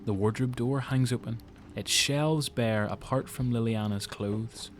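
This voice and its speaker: A man with a Northern Irish accent reading scripted, suspenseful dramatic story narration in deliberate phrases. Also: mid-range voice, fairly even intonation, a little hiss or hum. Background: music.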